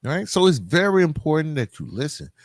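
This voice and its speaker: Animated American man, streetwise podcast host. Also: a little high, fairly quick, no echo, studio quality. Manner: informal, streetwise, emphatic repetition, rhetorical questions. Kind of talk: conversational solo podcast monologue addressed to listeners.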